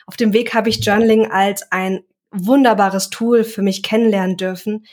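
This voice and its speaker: A woman's voice: mid-range voice, steady tone, moderate pace. A young woman, a self-employed coach, talking reflectively in a casual interview and now and then searching for words.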